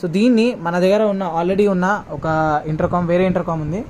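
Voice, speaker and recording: High-pitched man with a Telangana accent. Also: steady tone, brisk, darkish tone. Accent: Telangana Telugu. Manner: informal, mixes English words into Telugu. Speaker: motovlogger. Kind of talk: casual unboxing vlog chat. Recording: faint background noise.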